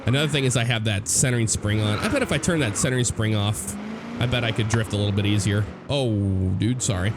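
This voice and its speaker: A young American man with a cold-roughened, hoarse voice, rambling casually as he comments on a driving game. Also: fairly low pitch, quick pace. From United States. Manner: rambling, relaxed, many fillers and restarts. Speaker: gameplay commentator. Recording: game car sounds loud behind voice. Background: car engine and road noise from the game.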